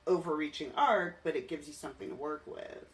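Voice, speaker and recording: A woman's voice: sits low, fairly quick, recorded from a distance, poor audio. A woman hosting a podcast in a casual, enthusiastic, fannish and giggly chat. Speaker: podcast host.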